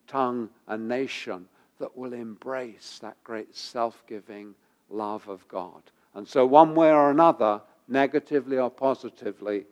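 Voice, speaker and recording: A man preaching a sermon while he has a head cold, his voice gravelly, pausing often with ums and uhs and repeating phrases for emphasis. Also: medium pitch, darkish tone. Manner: halting, many ums and uhs. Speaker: pastor.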